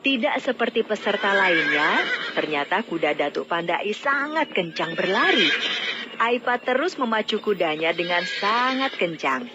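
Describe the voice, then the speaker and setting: A woman's voice: medium pitch, animated, a little slow, very noisy. A woman narrating a scripted fairy tale as a storyteller. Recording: dull lo-fi audio, music bed. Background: background music.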